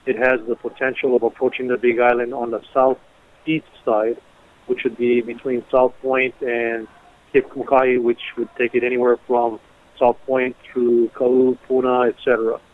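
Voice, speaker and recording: A man speaking as an emergency management official, giving a measured, deliberate press briefing over a conference call. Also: medium pitch, flat delivery. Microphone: telephone. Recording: muffled narrow-band conference phone line.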